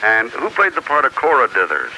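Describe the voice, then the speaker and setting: An American man calling a radio show with casual fan questions, speaking haltingly with frequent restarts. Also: medium pitch, huge pitch swings, pushed and bright. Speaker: radio phone-in caller. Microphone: telephone. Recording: phone call on hissy radio cassette.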